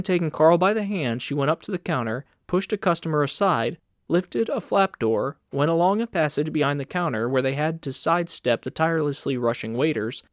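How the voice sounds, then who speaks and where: A man's voice: fairly high pitch, dry recording, clean studio sound. A man reading scripted literary narration as an audiobook narrator.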